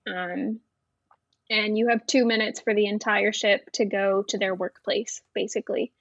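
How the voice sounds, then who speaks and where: A woman's voice: mid-range voice, little pitch movement, moderate pace, no echo, dull audio. A woman, a Navy veteran, chatting frankly and casually as a podcast guest, with many fillers. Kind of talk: casual interview chat.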